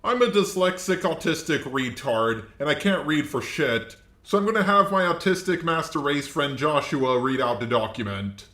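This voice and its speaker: A man's voice: fairly high pitch, lively intonation, normal speed, hardly any pauses, fairly bright tone, echoey room. A man hosting an informal scripted commentary video, talking in a blunt, casual way with some swearing. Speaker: host, commentator.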